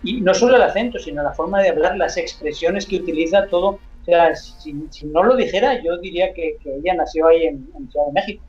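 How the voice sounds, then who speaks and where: A man from Spain with a Mexican-influenced Spanish accent, a radio co-host speaking in a measured, conversational way. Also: fairly high pitch, darkish tone, reverberant, faint music behind. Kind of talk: radio discussion. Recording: muffled lo-fi recording.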